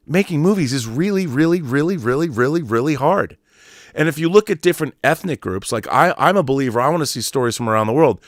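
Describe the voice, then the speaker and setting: Male YouTube host, mid-pitched, dry close recording; blunt, off-the-cuff opinions with halting, emphatic repetition. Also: no room sound. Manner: halting, repeats words for emphasis; blunt, sweary. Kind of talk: casual, opinionated monologue to viewers.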